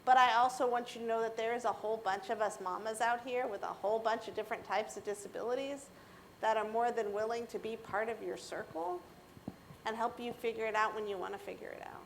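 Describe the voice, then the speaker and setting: Woman, American accent, middle-aged, high voice, casual animated storytelling, faint background noise. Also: a little fast, rough recording. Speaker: keynote speaker on a panel. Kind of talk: casual anecdotal talk to an audience.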